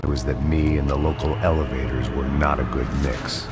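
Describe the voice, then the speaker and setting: Casting: hard-boiled American ex-cop narrator for a noir video game, middle-aged, bottomless low pitch, weary and cynical, over loud score. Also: male voice, moderate pace. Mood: wry, weary. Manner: deadpan, sardonic, weary. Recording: loud music behind the voice. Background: loud game soundtrack music.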